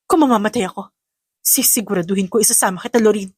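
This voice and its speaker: A vengeful villainess in an audio love drama, acted out by a woman narrator. She is angry, hateful and threatening. The recording is dry, with a mid-pitched voice. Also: quick pace, husky, dry recording, close to an everyday voice. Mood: menacing.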